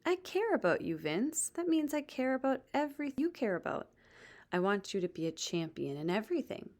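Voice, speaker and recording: A woman's voice: high voice, big pitch swings, fairly quick, darkish tone, pitched above the performer's own voice. A woman voicing a kind male mentor in a children's storybook, teaching in an encouraging, instructive way.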